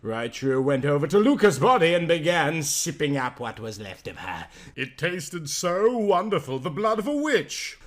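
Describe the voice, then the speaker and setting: A man's voice: on the high side, sing-song, normal speed, hardly any pauses. A man narrating a story in a melodramatic, amateur dramatic reading style.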